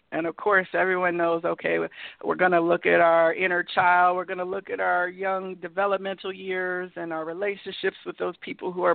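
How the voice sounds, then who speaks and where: A woman's voice: on the low side, vocal fry, no echo. A woman hosting a talk show, asking long, thoughtful, rambling questions with many fillers. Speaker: host. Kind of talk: interviewing guests on a call-in show. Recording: tinny phone line.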